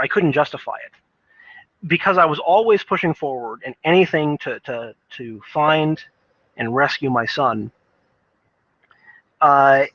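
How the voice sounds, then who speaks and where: A man's voice: mid-range voice, a bit bright, no echo, lo-fi sound. A man giving casual, unscripted video game commentary in a rambling, emphatic, earnest way, often stammering over repeated words.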